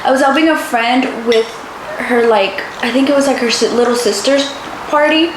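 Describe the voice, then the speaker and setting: A woman's voice: fairly high pitch, normal speed. A woman in her twenties from the United States, chatting casually to camera as a channel co-host. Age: 20s. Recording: roomy echo, clear background noise.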